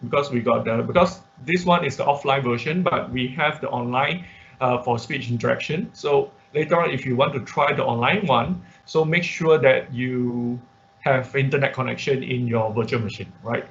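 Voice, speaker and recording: A man teaching an informal live online tutorial as an instructor, speaking haltingly, repeating words and often checking in with short tag questions. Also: mid-range voice, a bit bright. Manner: halting, repeats words, frequent checking tags like "okay?" and "right?". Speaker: instructor of an online robotics workshop. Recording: dull, band-limited online class audio.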